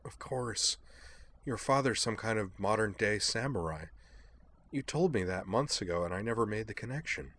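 A wry, self-mocking teenage girl laid up sick voiced by a man speaks in a low, quiet tone in a storybook reading. Her delivery stays dry and subdued. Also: animated, moderate pace.

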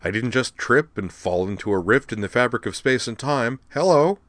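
A man's voice: medium pitch, big pitch swings, brisk, bright, forceful tone, dry recording, voice pushed up. A man voicing a smug, brash, teasing young woman with sarcastic, slangy talk in a story. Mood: irked.

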